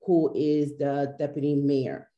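A woman with a low, very dark and mellow voice speaks earnestly on a webcam panel. She talks haltingly, pausing often. She is in a small office with a roomy echo. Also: flat delivery, lo-fi sound. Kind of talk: panel discussion on a video call. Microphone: video call webcam.

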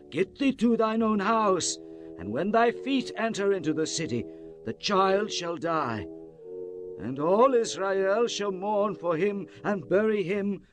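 An actor playing a stern, solemn old prophet pronouncing doom in a grave, declamatory dramatized scripture reading. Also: male voice, high voice. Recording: music bed behind the voice.

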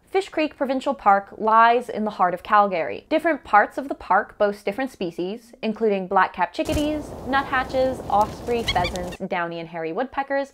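A young woman giving scripted instructional narration in a measured, carefully enunciated way. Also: medium pitch, slight background noise. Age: young adult. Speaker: presenter of a beginner's birdwatching guide.

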